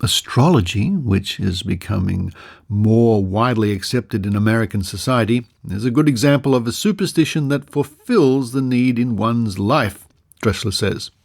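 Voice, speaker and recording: Mature Australian male presenter, creaky mid-pitched voice, deliberate storyteller's read of archive news items. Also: a little mellow. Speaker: podcast presenter. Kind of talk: reading old newspaper articles aloud with asides.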